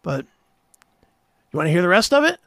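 A man's voice: high-pitched, big pitch swings, brisk, fairly bright tone, no echo, studio quality. A man hosting a talk show in a casual chat, with irreverent banter and loose swearing.

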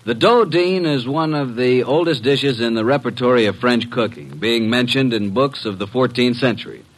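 An actor playing a breezy male detective's assistant in an old radio drama, with a wry, smooth-talking manner. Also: mid-range voice, normal speed, natural voice, low-quality recording. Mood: teasing, sarcastic. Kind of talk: 1940s-50s radio drama acting and narration. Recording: vintage radio drama recording.

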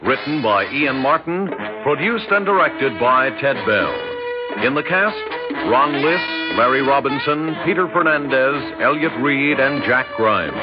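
A man's voice: medium pitch, lively intonation, measured pace, over loud music. A man with an American accent reading credits formally as a 1960s radio announcer. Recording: muffled old radio broadcast.

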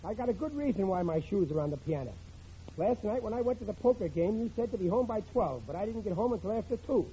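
A middle-aged, working-class bumbler of a husband and father in vintage radio comedy. His voice sits high but very muffled and dark, full of slangy bluster and stammered excuses. The old recording is dull with faint noise. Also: lively intonation, quick pace. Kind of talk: 1940s-50s radio situation comedy acting.

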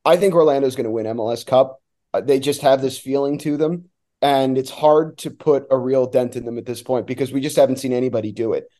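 A man's voice: mid-range voice, steady tone, brisk, warm tone. An American man from New Jersey giving casual sports analysis as a guest, often restarting words as he thinks aloud. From New Jersey, United States. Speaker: radio play-by-play announcer, guest.

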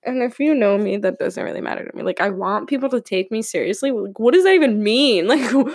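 A woman's voice: fairly high pitch, expressive, fairly quick, darkish tone, no room sound, studio quality. Chatty young woman podcaster. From California. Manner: chatty, rambling, laughs often, many fillers. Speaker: podcast host. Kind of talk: casual solo podcast chat. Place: room at home with windows open.

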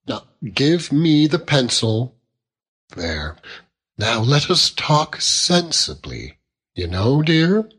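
A man voicing a fond, earnest young Victorian husband who gently reproves and reasons, in a storybook reading. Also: medium pitch, sing-song, takes it slowly, breathy edge, voice pushed up, exaggerated voice. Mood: earnest, reproving.

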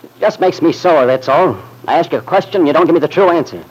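An actor playing a jealous, irritable young husband with a curt, needling manner in an old-time radio soap opera. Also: male voice, on the high side, fast, breathy edge. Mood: angry, accusing. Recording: noisy vintage radio recording.

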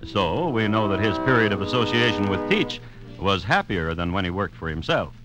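A man's voice: lowish voice, animated, normal speed, lightly acted, low-quality recording. A man narrating a classic radio drama as scripted storytelling, in a dramatic, theatrical manner. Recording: music behind the voice.

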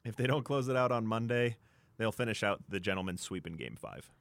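A man with a fairly low voice talks sports casually as a radio co-host. He thinks aloud a little hesitantly, recorded dry and close. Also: expressive, fairly quick, creaky, a bit bright, dry recording.

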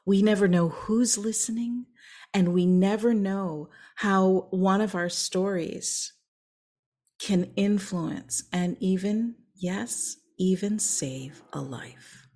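A woman's voice: mid-range voice, a little mellow. A woman opera singer and voice coach telling a story in an interview, earnest and emphatic, sometimes dropping to a whisper. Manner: earnest, slow and emphatic, drops to a whisper on key lines.

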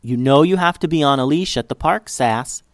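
A man's voice: medium pitch, normal speed, no echo, studio quality, natural voice. A man voicing a cheerful, affectionate young girl in a children's storybook, eager and friendly. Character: cheerful, affectionate young girl who loves her dog.

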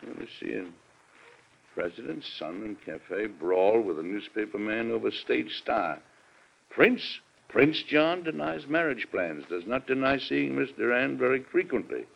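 A man's voice: mid-range voice, animated, measured pace, creaky. An actor playing a stern, weary President and exasperated father in an old-time radio drama, speaking formally and reproachfully. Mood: upset, exasperated. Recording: dull lo-fi vintage radio recording.

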